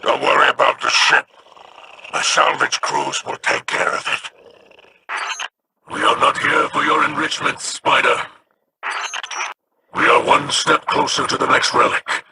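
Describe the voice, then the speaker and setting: An actor playing a stern, solemn old alien warrior captain who speaks formally and gives commands in a video game. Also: male voice, mid-range voice, fairly even intonation, unhurried, pauses often, noisy background. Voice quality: gravelly, formal.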